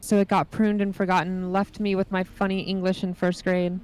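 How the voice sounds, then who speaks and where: A young woman in casual chat, explaining things in a matter-of-fact way with hesitant pauses. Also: on the low side, flat delivery, darkish tone, smooth, poor audio.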